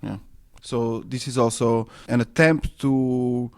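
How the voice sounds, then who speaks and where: A man's voice: medium pitch, fairly even intonation, slow pace. Hesitant Serbian-born man, radio guest. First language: Serbo-Croatian. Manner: hesitant, many fillers and restarts. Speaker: interview guest, anarchist newspaper editor. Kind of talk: radio interview.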